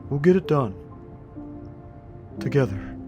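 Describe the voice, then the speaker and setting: A man's voice: medium pitch, lively intonation, takes it slowly, music in the background. A man playing an anxious, naive and earnest young investigator in a scripted web horror drama, hesitant and full of questions. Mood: earnest, resolved.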